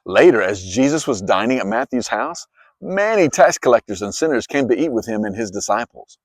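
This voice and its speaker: A man narrating scripture as a dramatized story, with expressive, clearly phrased delivery. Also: mid-range voice, a little fast, no echo, studio quality. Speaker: Bible narrator.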